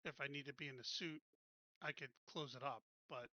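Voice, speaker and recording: A man chats casually as a player in a tabletop game, asking questions and settling plans. His voice is very bright and sharp, and he sounds close and dry. The mic sounds a little dull. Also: mid-range voice, steady tone, a little fast, dry recording.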